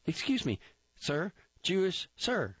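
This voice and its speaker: A persistent young army private, as a male reader puts him on, pitched well above a man's usual voice. He sounds eager, overly polite and pleading. The recording is dull and dry. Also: wildly swooping pitch, takes it slowly, fairly bright tone, husky, no echo, full character voice.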